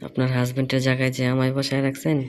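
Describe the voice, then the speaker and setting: Male, mid-pitched, brisk and probing, casual phone chat, slightly noisy recording. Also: monotone. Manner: probing, questioning at length. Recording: phone call recording with faint noise.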